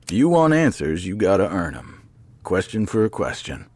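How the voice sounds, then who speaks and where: An actor playing a cool, menacing hired gun in a noir video game, sardonic and controlled. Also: male voice, low-pitched, very animated, normal speed, a little mellow, dry recording. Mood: stern, taunting.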